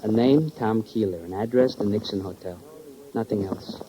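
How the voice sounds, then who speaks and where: An actor playing a weary, brooding medical examiner in a 1950s radio crime drama, speaking in halting, emphatic, oddly philosophical phrases. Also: male voice, lowish voice, warm tone, husky. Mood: somber, weary. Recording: dull old radio recording.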